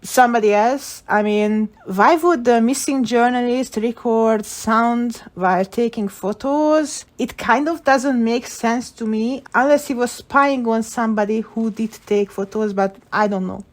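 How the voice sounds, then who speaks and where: A woman's voice: on the high side, unhurried, hardly any pauses. A woman video creator giving casual, opinionated commentary, thinking through her theories as she goes. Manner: speculative, opinionated. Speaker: video creator analysing game story.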